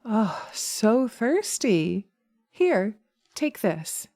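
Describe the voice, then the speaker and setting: Woman playing a sly, mellow, seductive hypnotist witch. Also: a little high, sing-song, no room sound, clean studio sound, exaggerated voice. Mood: seductive, hypnotic. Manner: slow coaxing, soothing, intimate, often slipping into whispers. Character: sly, seductive, vengeful female witch casting a hypnotic spell. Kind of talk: hypnosis roleplay audio. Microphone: close binaural mic, dry.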